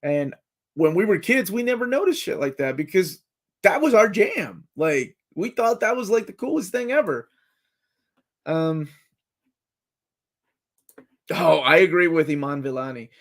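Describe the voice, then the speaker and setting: A man in his forties hosting a casual live chat, talking excitedly like a fan as he reads and answers comments. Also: on the high side, normal speed, long pauses. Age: 40s. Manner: excitable fan, jumps between comments and guesses.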